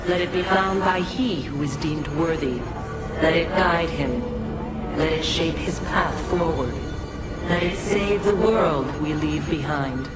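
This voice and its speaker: A solemn, commanding ancient spirit from a game, low and grave in her ceremonial speech. The voice echoes strongly, with loud music behind it. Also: measured pace. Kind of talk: formal video game monologue. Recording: echoing voice under loud music.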